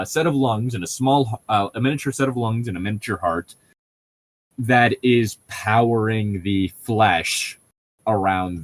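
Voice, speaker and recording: A man with a fairly low voice in casual co-host banter. He talks like a pedantic explainer, wandering through his points as he goes. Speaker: podcast co-host reading and riffing on a novel.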